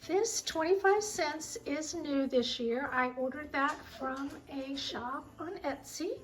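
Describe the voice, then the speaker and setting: A middle-aged woman with a voice made raspy by a sinus cold, chatting fondly and rambling as she shows her collection in her home kitchen. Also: high voice, slow pace, faint music behind. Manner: chatty, fond, meandering. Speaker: vlog host. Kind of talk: casual home tour chat.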